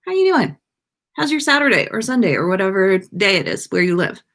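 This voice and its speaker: A woman's voice: medium pitch, very animated, fairly quick, fairly bright tone. An American woman hosting a casual livestream, chatting to viewers in a playful, rambling way. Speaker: podcast livestream host.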